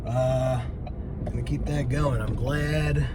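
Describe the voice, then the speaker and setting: A man's voice: fairly even intonation, slow. A congested man in his thirties, rambling casually to camera with fillers and swearing after leaving the gym outside. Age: 30s. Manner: loose, rambling self-talk with lots of fillers and swearing. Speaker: vlogger. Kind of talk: casual post-workout vlog. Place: outdoors by a road, leaving the gym. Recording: loud traffic noise outside. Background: traffic rumble.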